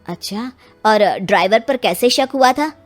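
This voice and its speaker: An earnest, respectful police inspector from a moral story, acted by a woman in a high voice. Questions and reports come politely and dutifully, over faint music. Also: animated, measured pace. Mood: polite, probing. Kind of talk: acted Hindi audio story dialogue.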